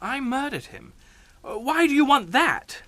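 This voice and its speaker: A frightened sea captain protests haltingly in a radio thriller. He is slow and stammering, indignant but giving way, his pitch leaping wildly, in a dry studio. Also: sing-song, sharp tone, no room sound, full character voice. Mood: shocked disbelief. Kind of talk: suspense radio drama acting.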